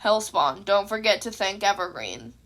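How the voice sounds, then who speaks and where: A woman's voice: mid-range voice, sing-song, normal speed, a bit bright, pitched below the performer's own voice. A woman voicing a stern, exasperated adult male teacher and hero, speaking in curt commands. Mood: calm, stern.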